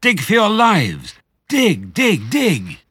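A man's voice: lowish voice, sing-song, fairly quick, creaky, a bit bright, husky, dry recording, exaggerated voice. A man voicing a determined, encouraging father fox, panting as he speaks in a storybook reading. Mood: desperate urging.

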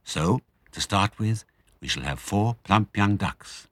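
A crafty, jovial fox father in a storybook reading, raised a little above the reader's own deep voice, as a man puts him on. He coaxes and commands with theatrical self-assurance. The sound is dry and close. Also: expressive, slow pace, a bit bright, no room sound, full character voice. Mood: gleeful, commanding. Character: sly, jovial male fox father, cunning and generous. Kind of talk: audiobook character reading.

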